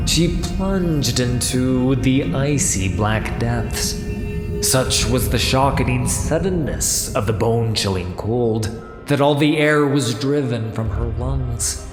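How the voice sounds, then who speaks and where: A man narrating a fantasy story in a dramatic, scripted audiobook style. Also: mid-range voice. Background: music.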